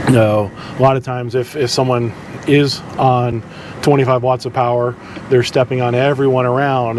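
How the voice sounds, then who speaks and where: A man giving instructions in a casual, halting, conversational way, as a boat captain presenting tips. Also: medium pitch, noisy background. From southeastern United States.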